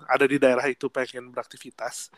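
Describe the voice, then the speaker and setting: A man's voice: medium pitch, fast talker, no echo. A young man with a Jakarta accent, co-hosting a podcast in casual chat, his voice a little nasal from a cold and full of fillers. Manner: relaxed slangy Jakarta chatter with frequent fillers. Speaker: podcast co-host.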